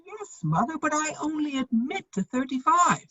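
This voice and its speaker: A woman playing a witty, idle gentleman bachelor in his thirties, arch and drily polite, in a period comedy reading. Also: sits high, very animated, normal speed, exaggerated voice. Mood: flippant. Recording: dull, echoey, lo-fi room sound.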